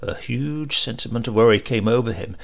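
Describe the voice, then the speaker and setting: A man reading a literary short story aloud as an audiobook narrator, in an expressive, measured storytelling manner. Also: medium pitch, vocal fry.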